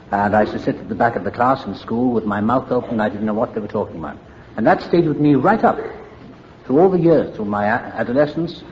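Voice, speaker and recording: A man's voice: lowish voice, dull audio, a little hiss or hum. An older man with a Welsh accent, candidly telling his recovery story to a meeting in a reflective, wry way. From Wales. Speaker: AA meeting speaker.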